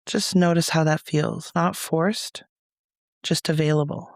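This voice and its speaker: A man leading a guided meditation as a reflective monologue, speaking in a soothing, measured, gentle way with pauses. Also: on the high side, fairly even intonation, no room sound, studio quality.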